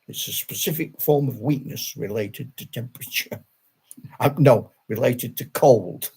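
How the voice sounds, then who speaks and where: A man's voice: medium pitch, creaky, darkish tone, slightly rough. An older man with a Yorkshire accent, chatting to his channel viewers in a rambling, self-deprecating way, with frequent chuckles. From Yorkshire, England. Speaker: wargaming channel host. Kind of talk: casual rambling monologue to camera.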